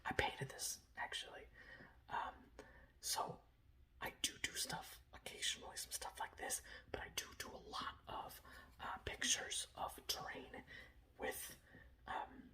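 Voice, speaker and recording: A man's voice: a little slow, sharp tone, echoey room, distant mic. A man hosting ASMR, whispering throughout as he reads fantasy lore and chats, playfully stuttering and repeating words. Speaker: ASMR channel host.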